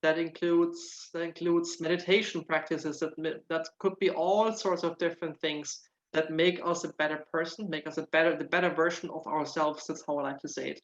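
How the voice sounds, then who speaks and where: A man's voice: a little high, fairly even intonation, moderate pace, fairly bright tone, highs cut off. A man from Germany with a German accent tinged with Irish, speaking earnestly as an interview guest and often repeating words while he searches for them. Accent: German with some Irish. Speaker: guest, nutritional therapist. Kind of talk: casual interview answers.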